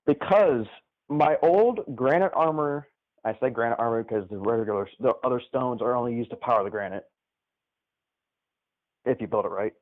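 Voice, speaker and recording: A man's voice: mid-range voice, moderate pace, long pauses. A man calling into a gaming livestream, chatting and reminiscing casually over a live call. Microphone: live call into the stream. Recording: tinny, band-limited phone-like call.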